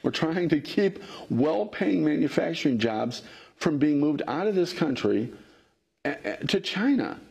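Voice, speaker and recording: Husky middle-aged man, earnest worker. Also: big pitch swings, a little slow, a little mellow. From Illinois. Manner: earnest, grows heated and higher when indignant. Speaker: factory worker and interviewee. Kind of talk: interview answers. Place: television studio. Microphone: lapel.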